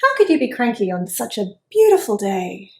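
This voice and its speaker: A woman's voice: medium pitch, big pitch swings, moderate pace, gravelly, roomy sound, slight background noise. A woman voicing a cheerful, friendly little worm in a read-aloud picture book, eager and chatty. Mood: cheery. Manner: bright, eager.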